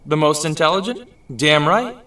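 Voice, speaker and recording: Guy playing cocky teen hero, highish bright voice, bit far from mic, audio drama. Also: animated, normal speed. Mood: teasing, cocky. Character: cocky, eager teenage boy hero. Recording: distant mic, faint music.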